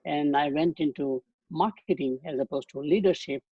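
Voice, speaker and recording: A man's voice: fairly high pitch, normal speed, slightly rough, dry recording. A man in his eighties with an Indian accent, giving a webinar lecture from slides in loose, rambling sentences with frequent restarts, over a video call. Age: 80s. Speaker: guest speaker. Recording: dull dry webcast audio.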